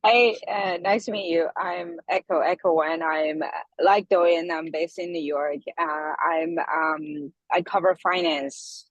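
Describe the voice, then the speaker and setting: A woman introducing herself on a video call, halting with fillers. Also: on the low side, fairly even intonation, no echo, highs cut off. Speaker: class participant. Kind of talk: brief self-introduction.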